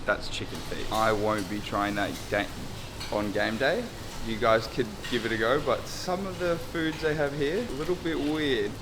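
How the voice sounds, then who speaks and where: A man's voice: mid-range voice, normal speed, noisy background. An Australian man talking casually and upbeat, vlogging a trip and commenting on his own footy game, with the odd casual swear. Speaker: vlogger and amateur footy player. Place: outdoors at a stadium and hotel.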